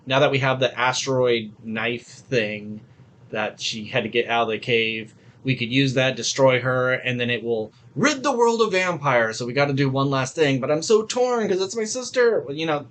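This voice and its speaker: A man with a mid-pitched voice chats casually as a podcast co-host. He gives blunt opinions and swears freely, in an informal reviewing style. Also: lively intonation, normal speed, a bit bright. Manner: profane, opinionated.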